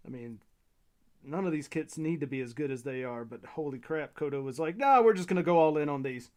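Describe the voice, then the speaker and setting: A man's voice: fairly high pitch, a little fast, darkish tone. A man chatting easily with friends about model kits, talkative about the details. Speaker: co-builder. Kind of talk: casual chat.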